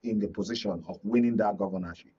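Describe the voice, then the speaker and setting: A man's voice: on the low side, quick pace, warm tone, slightly rough. A Nigerian man giving emphatic political analysis in a discussion, repeating points and checking that the listener follows. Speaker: media analyst and guest. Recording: muffled, distant mic, lo-fi sound.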